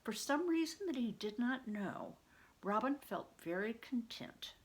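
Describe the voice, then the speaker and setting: An older woman reading a story aloud like a teacher, pausing to explain and put questions to the listener. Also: on the high side, lively intonation, slightly rough.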